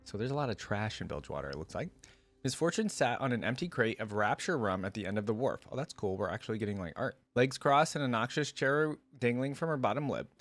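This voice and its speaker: A man's voice: medium pitch, expressive, fast talker, a bit bright. A man hosting a reaction video, reading a story aloud and breaking off for casual, slangy commentary.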